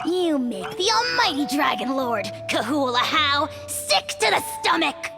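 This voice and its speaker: A tiny, self-important dragon in a video game. The voice is extremely high and lively, with haughty, grandiose scorn. Music plays clearly behind it. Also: a little slow, exaggerated voice. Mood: indignant, haughty. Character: tiny pompous, bratty dragon lord. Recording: loud background music over voice.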